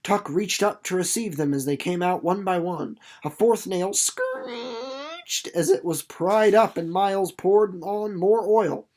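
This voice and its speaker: A narrator reading a novel aloud as scripted literary narration, in a dramatic storytelling manner that slows and softens for suspense. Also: medium pitch, sing-song, creaky.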